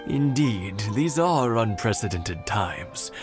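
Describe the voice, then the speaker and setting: A man's voice: fairly low pitch, lively intonation, slow pace, over music. An actor playing a kindly, grateful elderly village man in a video game, courteous and grandfatherly. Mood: warm.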